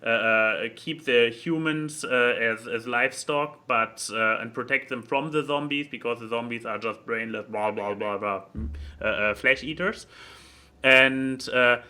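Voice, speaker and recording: Bright-voiced man, halting and rambling. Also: mid-range voice, fairly even intonation. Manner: halting, rambling, repeats words while thinking aloud. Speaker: podcast speaker reviewing a book. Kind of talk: casual opinionated chat.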